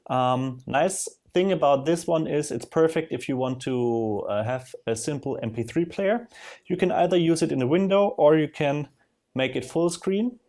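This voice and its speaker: A man narrating a casual software screen demo in a halting way, thinking aloud as he goes. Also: a little high. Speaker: software demonstrator. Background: music from audio players being demonstrated.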